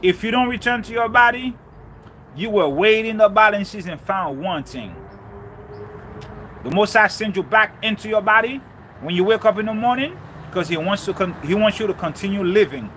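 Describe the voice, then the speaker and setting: A man's voice: sits high, moderate pace, sharp tone, slight background noise. A man from Haiti giving a fervent spiritual talk on faith and relationships, repeating key lines for emphasis. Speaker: spiritual speaker. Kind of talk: preachy motivational talk.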